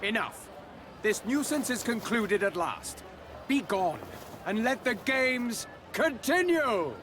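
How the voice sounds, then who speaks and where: An actor playing an imperious, excitable medieval king in a video game, grandiose and theatrical. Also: extremely high pitch, takes it slowly, noisy background, heavily acted. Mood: irritated, dismissive. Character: haughty, excitable Hungarian crusader king.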